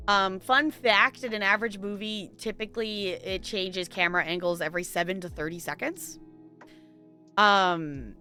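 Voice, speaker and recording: A woman's voice: medium pitch, sharp tone. A woman live-streaming, chatting casually with her viewers in a rambling, giggly way.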